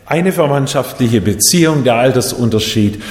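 A man from Japan preaching a sermon in a measured way, his voice rising and falling for emphasis. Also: medium pitch, lively intonation, a little mellow. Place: church hall. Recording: echoey hall, voice set back.

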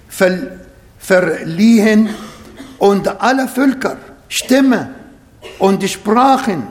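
Slow high-voiced man preaching in a hall. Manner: non-native German with grammar slips; slow, emphatic scripture reading. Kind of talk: sermon.

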